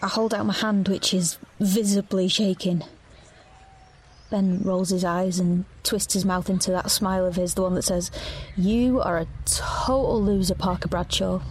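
A woman's voice: medium pitch, steady tone, moderate pace, darkish tone, slight background noise, close to an everyday voice. Anxious young woman narrating her dystopian life, acted by a woman. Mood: wry, confiding. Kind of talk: audio drama acting and first-person narration.

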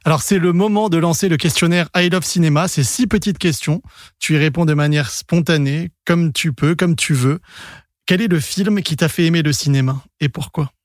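A man hosting a film podcast interview, asking short, brisk questions. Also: on the high side, no echo, studio quality. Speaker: podcast host, film journalist. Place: podcast studio.

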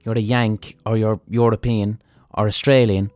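An Irish man talks through his views in a casual solo monologue. He is blunt, swears freely and works his thoughts out aloud. His voice is dark and mellow, recorded dry and close over faint music. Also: lowish voice, little pitch movement, normal speed, no echo. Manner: blunt and profane, thinking aloud. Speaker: podcast host.

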